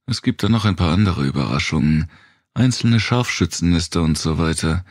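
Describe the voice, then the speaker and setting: A man's voice: low-pitched, animated, normal speed, no room sound, studio quality. A man voicing a tough, wary woman survivor with a blunt, explanatory manner in an audiobook. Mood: explaining, wary.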